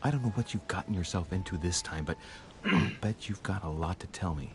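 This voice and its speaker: An actor voicing a young male noble investigator in a video game, measured and musing, often dropping to a hushed voice. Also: sits low. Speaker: game protagonist. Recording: game audio with background noise.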